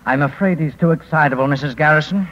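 An actor playing a formal, measured, officious lawyer in a 1950s radio drama. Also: male voice, a little high, fairly bright tone. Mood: formal, insinuating. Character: smooth, officious male lawyer, formal and scheming. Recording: muffled vintage radio recording.

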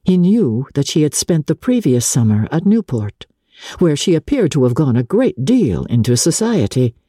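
A woman reading classic literature as a dramatic audiobook narrator, in a measured, expressive storytelling manner. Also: low-pitched, breathy edge, no echo, clean studio sound.

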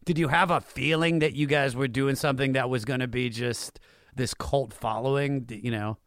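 A man with a medium-pitched voice hosts a casual interview. He asks questions in an informal, conversational way, and the sound is very dry, without room echo. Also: steady tone, normal speed. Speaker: interviewer, host.

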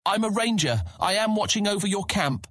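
A man playing an earnest young guild ranger in a fantasy audiobook, husky, polite and persuasive as he pleads his case. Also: high-pitched, expressive, fairly quick, a bit bright, dry recording. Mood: nervous. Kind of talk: full-cast fantasy audiobook acting.

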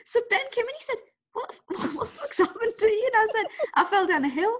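A woman's voice: extremely high pitch, fast talker. A woman co-hosting a casual podcast, telling stories with lots of giggling. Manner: giggly, animated storytelling. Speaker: podcast co-host. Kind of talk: casual chat.

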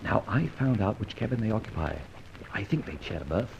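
An actor playing a breezy, scheming gentleman adventurer in an old radio serial, with clipped old-fashioned diction. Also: fairly low pitch, animated, brisk, creaky. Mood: brisk, confident. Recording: muffled, noisy vintage radio recording.

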